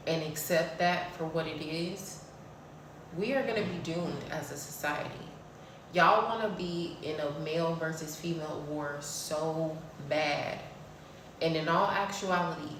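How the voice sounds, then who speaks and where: A woman in her thirties talks in a blunt, preachy way about spiritual laws, swearing freely as she goes. Her voice sits a little low. She is recorded from a distance in a very echoey room, with faint background noise. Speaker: spiritual vlogger. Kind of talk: casual spiritual talk to camera.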